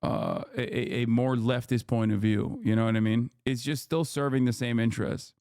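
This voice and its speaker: Male streamer, mid-pitched, heated and profane, rambling rant, dry close sound. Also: normal speed, creaky, a little mellow, clear, resonant tone, dry recording. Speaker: live streamer. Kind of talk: casual live-stream rant to chat.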